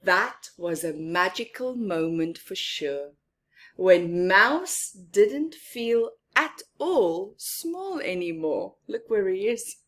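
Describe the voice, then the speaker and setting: A woman's voice: medium pitch, sing-song, takes it slowly, fairly bright tone. A woman reading a story aloud to young children, expressively, with simple explanatory asides. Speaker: children's story-time reader.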